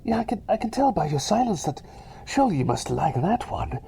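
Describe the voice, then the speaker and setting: A man's voice: high voice, big pitch swings, a little fast, a little hiss or hum, heavily acted. Whispery, swooping, eccentric plague doctor, played by a man. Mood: hopeful, playful. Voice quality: breathy whisper mixed with soft voice. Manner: hesitant, rambling, repeats phrases, eager for approval. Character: cheery, eccentric, self-doubting male plague doctor. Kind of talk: ASMR roleplay, whispered personal attention.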